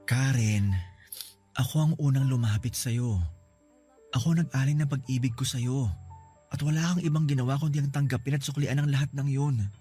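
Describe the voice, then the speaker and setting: Tender and earnest, an actor plays an injured young boyfriend in a radio drama. His mid-pitched voice is reassuring and forgiving, with soft music underneath. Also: fairly even intonation, normal speed, pauses often, breathy edge, natural voice. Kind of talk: classic radio-drama acting.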